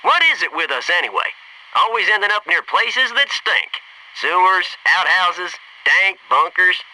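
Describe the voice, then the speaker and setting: A man's voice: high-pitched, sing-song, a little slow, bright, forceful tone, no echo, distant mic, exaggerated voice, poor audio. A man playing a cocky, wisecracking young American Navy SEAL in a military video game, with punchy, wry delivery. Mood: wry, joking. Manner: punchy, wry, eager banter and callouts.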